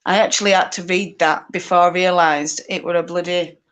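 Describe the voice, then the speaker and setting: A woman's voice: fairly low pitch, steady tone, a little fast, fairly bright tone. Chatty woman host, laughing. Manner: laughing, reading out chat comments. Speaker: spinning channel host. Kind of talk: casual livestream chat.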